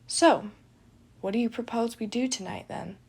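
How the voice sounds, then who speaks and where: Casting: proud, dramatic young brother acted by a woman, wry and bickering, husky with some fry, audio fiction. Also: on the high side, moderate pace, a little mellow. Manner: dramatic, dry retorts. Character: proud theatrical young man, festive bickering twin brother.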